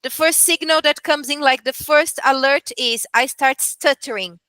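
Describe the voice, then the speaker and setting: A woman's voice: sits high, moderate pace, sharp tone, no echo. A woman from Brazil whose first language is Portuguese, speaking as a medical interpreter in an earnest interview, often adding "you know" and "yes". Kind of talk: conversational interview answers. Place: online video call.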